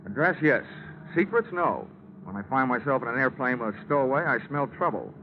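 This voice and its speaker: A man's voice: medium pitch, lively intonation, fairly quick, background noise, close to an everyday voice. An actor with an American accent playing a tough, sardonic male investigator who narrates in a 1940s radio detective drama. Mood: sardonic, stern. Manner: hard-boiled, sardonic. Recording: dull 1949 radio recording, music behind.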